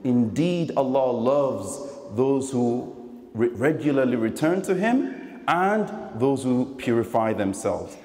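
A man delivering a Friday sermon in a deliberate, emphatic way into a stand microphone in a large mosque. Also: medium pitch, lively intonation, warm tone. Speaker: preacher giving a Friday sermon. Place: large mosque prayer hall, indoors. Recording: echoey hall with long reverb.

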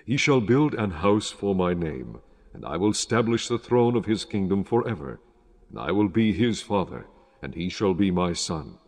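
An actor playing the solemn, commanding voice of God in a dramatized Bible reading, stately and authoritative. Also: male voice, low-pitched, sing-song, normal speed, exaggerated voice. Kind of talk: dramatized Bible audio acting.

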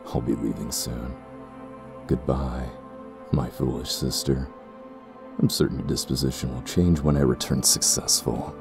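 A man's voice: a bass voice, fairly even intonation, measured pace, vocal fry, music in the background, heavily acted. A man playing a cold, condescending and ruthless warrior clansman in an audio roleplay, speaking in a haughty, formal way. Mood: cold contempt. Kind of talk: scripted audio roleplay acting.

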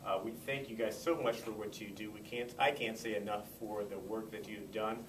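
A man's voice: on the low side, steady tone, moderate pace. A middle-aged man from Southern California giving an informal public speech of thanks. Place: library community room, indoors. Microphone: far camera microphone. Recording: distant camera, echoey room, clear noise.

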